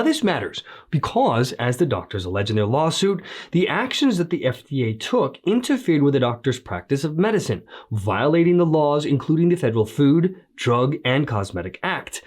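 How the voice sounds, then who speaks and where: A man's voice: mid-range voice, a little mellow. A man hosting an online news show, reading scripted commentary in a slightly crackly voice after the flu.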